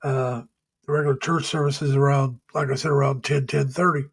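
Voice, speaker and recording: A middle-aged man hosting a casual live stream, chatting with viewers in a folksy, rambling way, laughing often and pausing on many uhs. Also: medium pitch, flat delivery, husky. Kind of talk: casual chat reading viewer comments. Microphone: home webcam or computer mic.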